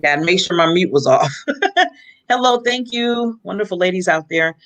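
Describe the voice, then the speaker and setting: A woman's voice: fairly low pitch, lively intonation, normal speed. An American woman guest on an online panel, joking and laughing as she talks. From United States. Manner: jokey, laughing. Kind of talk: casual chat.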